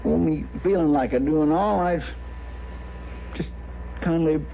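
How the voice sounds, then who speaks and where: Radio western sidekick: sheepish rural American deputy, creaky, lightish voice, deferential, rambling, on a tinny old recording. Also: male voice, on the high side, a little slow. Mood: sheepish confession. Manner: rambling, hesitant, folksy, deferential. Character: sheepish, lovesick, folksy deputy of a frontier marshal. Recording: tinny, faintly noisy old radio broadcast.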